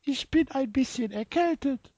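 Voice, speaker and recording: A man's voice: very high-pitched, expressive, unhurried, darkish tone, dry recording, pitched above the performer's own voice. A man voicing a talking trash-can mascot with a cold that greets people and urges them to throw in their rubbish. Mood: chirpy.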